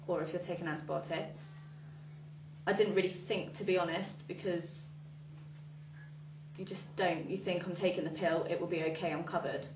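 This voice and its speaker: A nineteen-year-old woman, a young mother, telling her story in a matter-of-fact way. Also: lowish voice. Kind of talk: personal testimony. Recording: roomy, distant, music behind.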